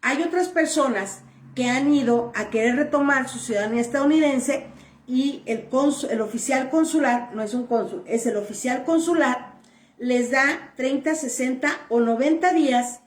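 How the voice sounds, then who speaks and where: A woman's voice: high voice, normal speed, far from the mic. A woman giving blunt immigration advice straight to her viewers, answering their questions in a firm, repetitive way. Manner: firm, blunt and repetitive, stressing points plainly. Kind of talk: direct-to-camera advice video. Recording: roomy echo.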